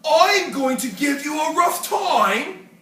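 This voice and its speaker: A storyteller putting on a loud bullying rhinoceros. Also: high voice, big pitch swings, takes it slowly, a little hiss or hum, pitched above the performer's own voice, heavily acted. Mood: menacing. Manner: loud, growling, mocking. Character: large male rhinoceros, rude, gleeful bully. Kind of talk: storybook character voice. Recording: echoey room, distant mic.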